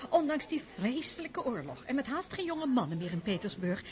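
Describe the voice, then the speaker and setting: A woman's voice: fairly high pitch, sing-song, fairly quick, some chatter behind. An actress in a radio play as a middle-aged aristocratic princess and mother, polite and effusive in drawing-room fashion. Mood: sociable. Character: genteel aristocratic princess, a sociable mother of grown children.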